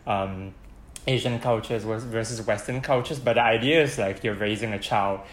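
A young man on a podcast giving a thoughtful, measured take, pausing to find his words. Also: on the low side, echoey room. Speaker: podcast co-host. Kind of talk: casual chat.

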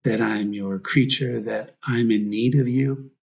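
A man guiding a prayer meditation as a priest, reflective and prayerful, speaking in short phrases with pauses. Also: mid-range voice, fairly even intonation, vocal fry, darkish tone, breathy edge, highs cut off.

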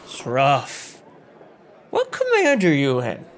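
An actor playing a jovial, drunken Confederate soldier who rambles in an over-friendly, chatty way. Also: male voice, a little high, huge pitch swings, takes it slowly, exaggerated voice. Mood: friendly, curious.

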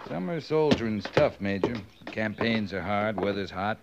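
Laconic, low-ish cavalry captain. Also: male voice, animated, moderate pace, heavy background noise. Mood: dryly concerned. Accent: American. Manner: terse, understated, dry. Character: laconic, dutiful cavalry captain who stands up for his men. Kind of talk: 1950s radio western acting. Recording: muffled old 1950s radio recording.

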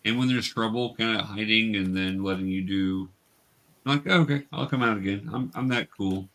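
A man working as game master, narrating a tabletop game to young players in a halting, explanatory way. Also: fairly low pitch, a bit bright. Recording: clear background noise, clicks.

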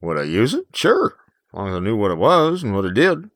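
A protective corporate counselor in a campy audio drama. His low voice swings widely in pitch, wry and cautioning, in a very dry recording. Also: huge pitch swings, a little fast, fairly bright tone, no room sound, full character voice.

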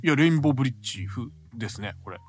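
A man's voice: medium pitch, expressive, rapid-fire, faint music behind. A man with a Kansai accent, co-hosting a casual podcast chat and protesting with laughs. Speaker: podcast co-host.

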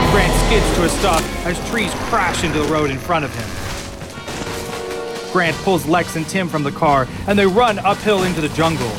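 A man reading scripted narration for an audio drama in a measured, dramatic way. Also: a little high.

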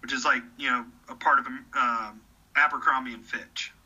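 A man in his thirties talks with real conviction in an easygoing chat. His voice is strikingly bright and forceful, and he often repeats words as he gets a sentence going. The recording sounds slightly dull and lo-fi. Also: mid-range voice, fairly even intonation, normal speed, husky. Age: 30s. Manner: earnest, repeats words when starting sentences. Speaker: podcast guest. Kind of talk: casual conversation.

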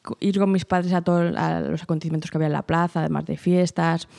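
A woman from answers interview questions. Her voice is mellow and warm. Her manner is quiet, reserved and thoughtful. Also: low-pitched, fairly even intonation, quick pace, creaky. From Spain. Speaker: guest, journalist.

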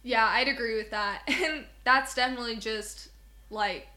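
A woman's voice: on the high side, normal speed, sharp tone. A young woman from Southwest Missouri co-hosting a podcast, chatting in a rambling way and laughing often. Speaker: podcast co-host. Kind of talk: casual podcast chat.

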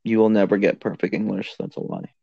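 A man in casual chat, blunt and argumentative, dismissing claims flatly. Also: on the low side, fairly quick, gravelly, no room sound, lo-fi sound.

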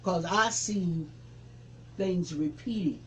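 A man in his seventies, a reverend, recalling the past in an interview with a preaching cadence that rises to forceful emphasis. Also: high-pitched, slow pace, a little hiss or hum, poor audio. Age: 70s. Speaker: reverend, civil rights veteran. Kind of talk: interview reminiscence.

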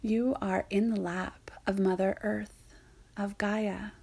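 A woman softly guiding a yoga nidra meditation in a soothing, breathy way, leaving long pauses. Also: mid-range voice, flat delivery. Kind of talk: guided meditation narration.

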